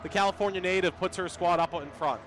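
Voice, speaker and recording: A man with a high-pitched voice provides color commentary on live sports radio. He breaks down the play in brief, clipped analytical phrases. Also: fast talker, a bit bright, a little hiss or hum.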